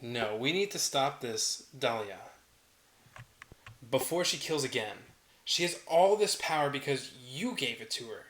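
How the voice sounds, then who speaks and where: A man's voice: mid-range voice, lively intonation, measured pace, fairly bright tone, roomy sound. A man playing an earnest, principled human who challenges others, in a drama table read. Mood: earnest, insistent. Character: earnest, principled male human companion of a vampire.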